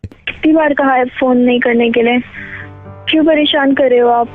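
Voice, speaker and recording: Pleading young woman on phone, very high. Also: steady tone, moderate pace, narrow, tinny audio, faint music behind. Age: young adult. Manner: pleading, upset. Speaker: caller on a prank call.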